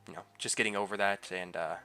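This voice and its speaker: A man's voice: deep voice, steady tone, fast, pushed and bright, clear, resonant tone. Slightly nasal man with a head cold. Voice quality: a bit nasal. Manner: loose and chatty, with many fillers and restarts. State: getting over a head cold, slightly congested. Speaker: scale model builder and channel host. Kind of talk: casual voice-over narration of a model build.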